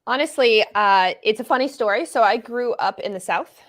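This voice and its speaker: A woman in her forties, a guest expert on an interview show, explaining things in a lively way with casual analogies. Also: fairly high pitch, normal speed. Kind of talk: interview answers.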